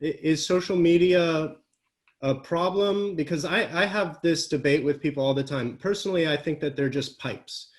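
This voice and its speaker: A man's voice: a little high, moderate pace. A man hosting a podcast, who shares his own view in a casual way and then asks his guest a question. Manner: curious, lays out his own opinion before asking. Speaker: podcast host.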